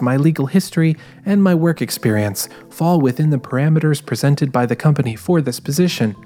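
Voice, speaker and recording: A man's voice: fairly high pitch, natural voice. A man playing a guarded, driven female private eye, narrating introspectively in a scripted audio drama. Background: quiet music.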